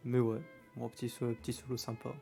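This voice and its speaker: A man's voice: medium pitch, completely flat delivery, vocal fry, warm tone, faint music behind. Laughing man wrapping up a game session. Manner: laughing often, halting restarts. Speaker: game master.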